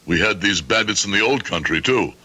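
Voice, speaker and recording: An actor playing a proud, stubborn old immigrant shopkeeper in a radio drama, speaking formally and sternly. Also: male voice, lowish voice, expressive, fairly quick, bright, forceful tone, exaggerated voice. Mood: proud, firm. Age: older. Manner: formal, stern, righteous phrasing.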